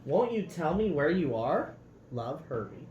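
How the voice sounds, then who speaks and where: A man's voice: fairly high pitch, big pitch swings, a little slow, highs cut off. A man voicing an earnest, lovestruck male slug reciting sincere singsong love notes in a storybook. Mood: yearning. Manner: sincere, singsong rhyming delivery. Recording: roomy echo, faint background noise.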